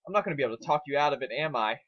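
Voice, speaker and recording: A man's voice: mid-range voice, animated, rapid-fire, no room sound, lo-fi sound, lightly acted. A man voicing a worried young male dragon sidekick, protesting and pleading in an amateur dramatic reading. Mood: alarmed, pleading. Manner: alarmed, pleading.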